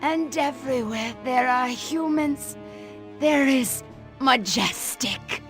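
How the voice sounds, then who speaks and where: A high-pitched woman as a secret agent in a game cutscene. She speaks very slowly and with distress, over faint music. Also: a bit bright, exaggerated voice. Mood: distraught, pleading. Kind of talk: video game cutscene acting.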